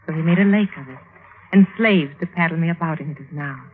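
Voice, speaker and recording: A woman's voice: low-pitched, fast talker, natural voice. A woman playing a pampered, dreamy young princess in a classic radio drama, with languid theatrical phrasing. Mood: proud, dreamy. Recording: narrow-band old radio, faint noise.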